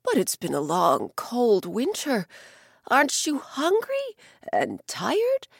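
Worn-out old man in a kids' tale, slow, tired, a lady reading it out. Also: fairly high pitch, huge pitch swings, creaky, husky, dry recording, studio quality, voice pushed up, exaggerated voice. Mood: weary. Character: weary old man stuck at a river.

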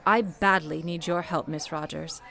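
A woman acting a low-voiced, motherly switchboard supervisor. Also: fairly even intonation, normal speed, gravelly, a bit bright. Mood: stern, urgent. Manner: formal, firm, soothing. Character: stern but motherly female switchboard supervisor. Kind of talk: radio play acting, 1930s period drama.

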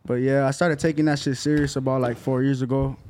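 A man's voice: mid-range voice, flat delivery, fast, a little mellow. An eighteen-year-old man who raps, chatting casually in slang with lots of fillers. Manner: laid-back street slang, lots of fillers. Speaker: rapper. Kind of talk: casual interview chat.

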